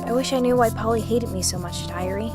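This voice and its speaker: A woman's voice: a little high, normal speed, close to an everyday voice. A woman playing an earnest, insecure girl of twelve confiding in her diary in a radio drama. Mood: dejected. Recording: music under the voice.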